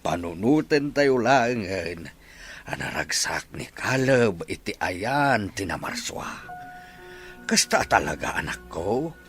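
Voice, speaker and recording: A man's voice: lowish voice, sing-song, slow pace, fairly bright tone, faint music behind, full character voice. A man playing a grieving old grandfather who consoles his family, acting in an Ilocano radio drama with an Ilocano accent. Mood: consoling.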